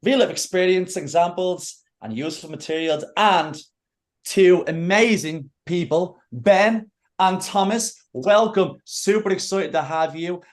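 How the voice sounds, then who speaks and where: A man hosting a live webinar in an enthusiastic, effusive way. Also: high-pitched. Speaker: event host.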